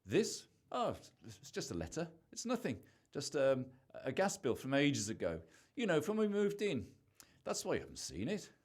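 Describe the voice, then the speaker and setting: A man's voice: fairly high pitch, huge pitch swings, moderate pace, husky, exaggerated voice. A man in an amateur radio play acting a defensive, sarcastic husband who is hiding something. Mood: evasive, nervous. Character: evasive, irritable husband hiding a secret.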